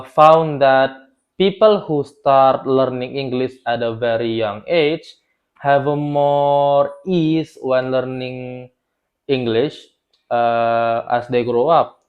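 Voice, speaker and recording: A young man whose first language is Indonesian talks through an educational explainer at a mid-range pitch. He speaks loosely and off the cuff, restarting his sentences and filling pauses. The recording sounds a little dull and lo-fi. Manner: loose, unscripted, with frequent restarts and fillers. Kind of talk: explainer video on language learning.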